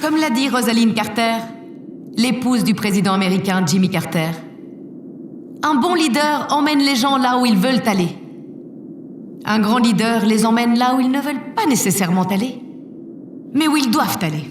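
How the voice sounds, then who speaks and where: A self-assured female president played by an actress with a fairly high voice. She orates solemnly and formally, as in an audio drama. The sound is echoey and set away from the mic. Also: animated, moderate pace, pauses often, a faint crowd, natural voice. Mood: solemn, stately. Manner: formal, oratorical. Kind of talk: scripted audio drama speech. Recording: echoey hall PA, distant, faint noise.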